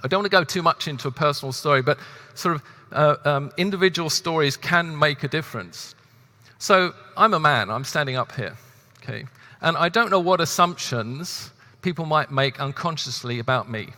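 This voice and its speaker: A man's voice: on the high side, normal speed, bright, forceful tone. Earnest British man in a large hall. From half British, half Spanish. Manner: earnest, hesitant with many fillers. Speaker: director moderating a closing session. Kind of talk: conference moderation speech. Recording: long reverb of a conference hall.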